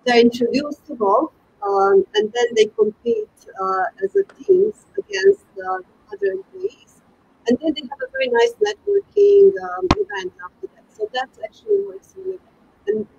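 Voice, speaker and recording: A woman's voice: very high-pitched, normal speed, gravelly, a little mellow, dull audio, a little hiss or hum. A middle-aged woman from Croatia with a Croatian accent, giving conversational answers as an interview guest. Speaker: guest, golf club sales and marketing manager.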